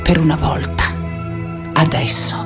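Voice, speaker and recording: An actress playing an anguished, defiant, aging woman scholar in a radio play, speaking in halting, impassioned repetitions. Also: low voice, steady tone. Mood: weary, wistful. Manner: halting, repeating words, impassioned. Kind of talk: dramatic radio theatre monologue. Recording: music under the voice. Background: music.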